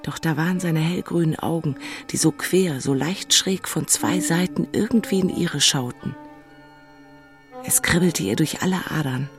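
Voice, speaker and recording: A woman's voice: sits low, moderate pace, faint music behind. An actress playing an emotional, infatuated single city woman in an intimate audio drama. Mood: infatuated musing.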